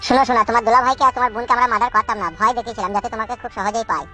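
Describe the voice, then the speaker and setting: A man's voice: very high-pitched, steady tone, rapid-fire, over music. A man voicing a coaxing, coarse new husband in a comic cartoon, theatrical and colloquial.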